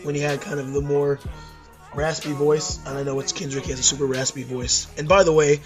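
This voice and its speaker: A hoarse young man ranking albums in a rambling, casual talk, full of fillers and self-corrections. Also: on the high side, little pitch movement, brisk, soft background music. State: hoarse from losing voice at a concert. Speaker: YouTube music reviewer.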